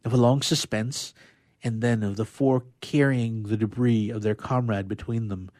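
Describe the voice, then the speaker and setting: A man giving a dramatic reading of classic science fiction, with emphatic, drawn-out phrasing. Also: mid-range voice, fairly even intonation, darkish tone, no room sound, clean studio sound. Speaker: radio player reading literature aloud.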